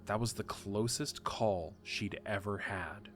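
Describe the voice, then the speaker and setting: A man narrating a fan audio drama with steady, measured reading. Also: fairly low pitch, faint music behind. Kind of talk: scripted story narration.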